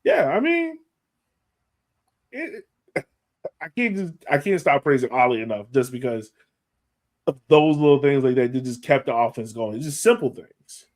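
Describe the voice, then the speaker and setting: A man's voice: medium pitch, very animated, moderate pace, pauses often. An American man co-hosting a sports podcast, analyzing a match in a colloquial, emphatic way and repeating points for stress. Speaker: podcast co-host.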